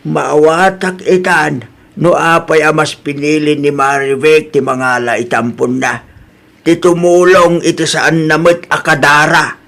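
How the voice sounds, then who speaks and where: Elderly father, played by a man, creaky higher-set voice, Ilocano accent, hurt and weary, family drama. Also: steady tone, unhurried, fairly bright tone. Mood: hurt, reproachful. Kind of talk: Ilocano comedy drama dialogue.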